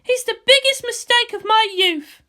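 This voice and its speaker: A man's voice: extremely high pitch, moderate pace, sharp tone, voice pushed up. A man voicing a brash, world-weary young woman officer with an emotional, teasing manner in a fan fiction reading. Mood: wistful, bitter.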